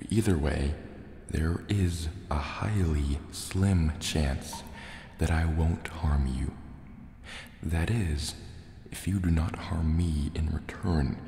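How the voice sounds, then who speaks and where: Menacing sea serpent dragon, played by a man, soft ASMR delivery, slow and threatening, in a reverberant, echoing cave with water sounds. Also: extremely low pitch, gravelly, full character voice. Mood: cold menace. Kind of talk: soft-spoken ASMR roleplay. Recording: echoey cave reverb, faint noise. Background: water and cave ambience.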